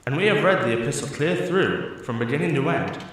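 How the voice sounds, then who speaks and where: A man's voice: fairly high pitch, big pitch swings, a little fast, fairly bright tone. A young man playing an eager, bookish young prince in an audio drama, speaking earnestly in formal, archaic phrases. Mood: confident. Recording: very echoey, distant mic, faint noise.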